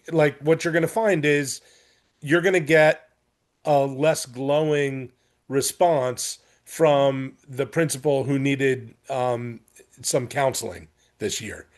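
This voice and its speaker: A man's voice: on the high side, darkish tone. An American man speaking off the cuff in a board chairs' meeting, explaining his view and advising in a conversational way. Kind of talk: extemporaneous meeting discussion.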